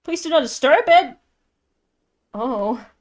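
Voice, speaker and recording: A woman's voice: high-pitched, lively intonation, takes it slowly. A woman streamer giving casual playthrough commentary and reading game text aloud. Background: game music.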